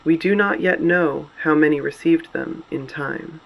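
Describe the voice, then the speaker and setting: A man playing a sober resistance broadcast announcer, speaking solemnly and deliberately in short statements with a gravelly edge. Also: on the high side, muffled recording. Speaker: broadcast host. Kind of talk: scripted dystopian broadcast narration.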